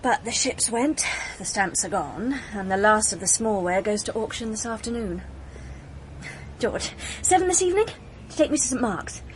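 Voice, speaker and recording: An actress playing a polite, wistful shipping heiress in a radio drama, crisp, resigned and reflective. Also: female voice, mid-range voice, animated, normal speed, close to an everyday voice. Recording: noisy, with ambient sound.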